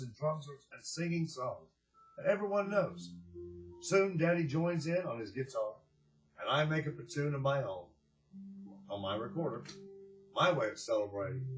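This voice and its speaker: A man reading aloud and hosting for children, with expressive, drawn-out phrasing and a gentle, encouraging way of addressing them. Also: a little high, rough recording. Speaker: children's story reader and host.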